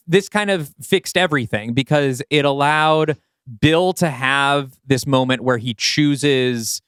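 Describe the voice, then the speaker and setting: Clear, smooth-voiced man in casual discussion. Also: medium pitch, fairly bright tone, dry recording, clean studio sound. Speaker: podcast co-host and audio play writer. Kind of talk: casual post-show creative discussion.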